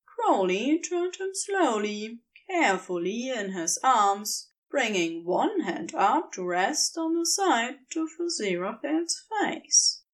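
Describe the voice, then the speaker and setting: A woman narrating a scripted story in a measured, expressive storytelling manner. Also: a little high, low-quality recording.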